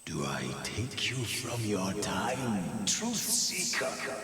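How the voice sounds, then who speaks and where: In a cavernous echo with music behind, an eerie riddling spirit. The delivery is theatrical and drawn out, swinging widely in pitch and sometimes sinking into whispers. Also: male voice, wildly swooping pitch, slow pace, heavily acted. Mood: cryptic, eerie. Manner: cryptic, theatrical, whispering at times. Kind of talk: video game voice acting. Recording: echoey hall reverb with music.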